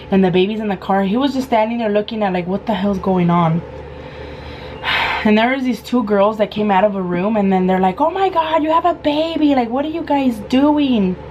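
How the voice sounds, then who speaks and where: A woman in her thirties with a hoarse, sore throat, telling a casual storytime vlog and acting out the quoted lines. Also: medium pitch, a little fast, dull audio, a little hiss or hum. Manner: animated, acts out quoted lines. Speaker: vlogger.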